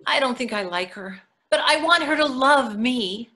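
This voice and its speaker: High, bright, flustered mother-in-law played by a woman. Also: lively intonation, normal speed. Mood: anxious, pleading. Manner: flustered, stammering, pleading. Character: anxious, clingy mother-in-law desperate to be loved. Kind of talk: readers theatre stage acting.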